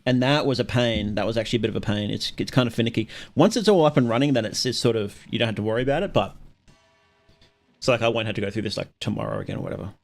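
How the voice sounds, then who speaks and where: A man hosting a livestream, chatting casually with viewers in a rambling, halting way and often interrupting himself. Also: fairly low pitch, creaky. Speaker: livestream host.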